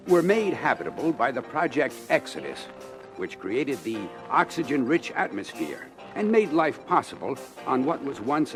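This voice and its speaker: An actor playing a grave, dignified space-colony minister giving a formal, measured speech on an audio adventure record. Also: male voice, on the low side. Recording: vintage LP with music behind.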